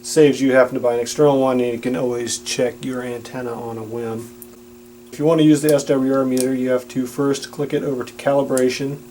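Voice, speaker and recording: A man's voice: mid-range voice, little pitch movement, a little fast, dark tone. A man giving a plain-spoken, instructional product demonstration. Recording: audible background noise with hum.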